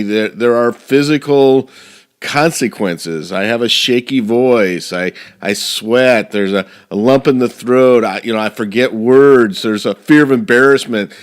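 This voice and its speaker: Guy giving a talk on stage fright, earnest, keeps restarting words, mid pitch. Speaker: professional speaker. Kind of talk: speech to a speaking club.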